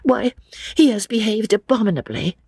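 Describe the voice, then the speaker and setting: Novel heroine, put on by a narrator: young, proper, stiff, high-voiced, breathy, indignant. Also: big pitch swings, normal speed, dry recording, studio quality. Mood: cold, indignant.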